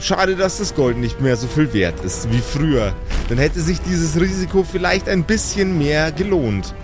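A man's voice: a little high, animated, unhurried. A man narrating an improvised tabletop fantasy game dramatically as game master. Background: music.

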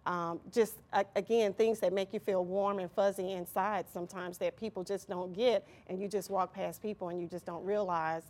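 Mid-pitched woman giving halting interview answers. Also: steady tone, a little mellow. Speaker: interview guest from an employment nonprofit.

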